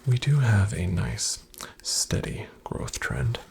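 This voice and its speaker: A man acts out a reassuring, formally polite financial advisor in a soft ASMR roleplay. His slightly low voice crackles heavily with fry and slips into breathy whispering. He speaks slowly and soothingly, with lively pitch movement and a quietly boastful polish. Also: heavily acted. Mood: softly pleased.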